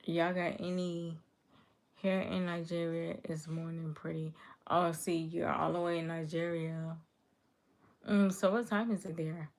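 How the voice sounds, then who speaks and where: A woman from Chicago hosts a casual livestream. She chats easily and answers viewers' comments aloud. Her voice sits low and stays level, with a gravelly edge. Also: level tone, unhurried. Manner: easygoing, chatty, reads chat comments aloud and answers them. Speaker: livestream host.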